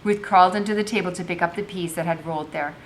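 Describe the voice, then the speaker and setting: A woman reading a novel aloud as a teacher, with narration and informal explanation. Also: quick pace. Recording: faintly noisy home recording with low rumble.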